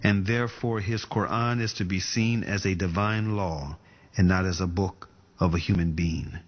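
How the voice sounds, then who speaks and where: Male voice-over, low register, measured quotation reading, dull lo-fi sound. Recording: dull lo-fi audio. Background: faint music.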